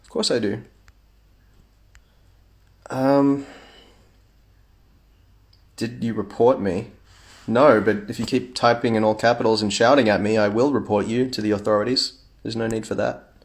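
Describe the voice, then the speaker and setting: A man's voice: lowish voice, steady tone, unhurried, long pauses. A young man chatting casually on a phone livestream at home, reading fan comments aloud with playful asides.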